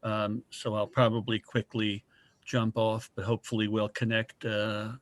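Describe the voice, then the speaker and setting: A man's voice: lowish voice, level tone, unhurried, dry recording. A man with a New Jersey accent, a guest speaker making friendly, joking small talk over a video call.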